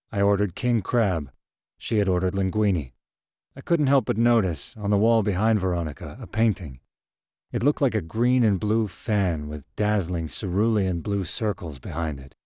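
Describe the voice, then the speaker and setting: A man with a very low, deep voice reads a short story in the first person. His pacing is calm and measured. The close studio recording is dry, with no room sound. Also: no room sound. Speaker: narrator. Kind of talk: scripted narration of a short story.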